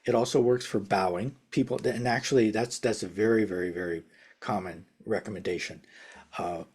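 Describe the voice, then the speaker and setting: An older man teaching casually over a video call from his home study. His talk rambles, with restarts, chuckles and phrases that trail off softly. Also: on the low side, fairly even intonation, moderate pace, breathy edge. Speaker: meditation teacher. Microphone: video call webcam.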